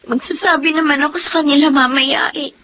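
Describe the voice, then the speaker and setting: A woman's voice: high-pitched, steady tone, fairly quick, sharp tone, slight background noise. A woman playing a timid, overwhelmed teenage college girl in a Filipino drama, hesitant and stammering. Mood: upset, discouraged. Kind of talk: Filipino radio drama acting.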